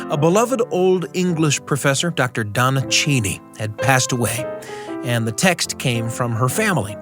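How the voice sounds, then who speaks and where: A man hosting a radio show, chatting and telling personal stories in a conversational way. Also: mid-range voice. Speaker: radio show host and storyteller. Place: radio studio.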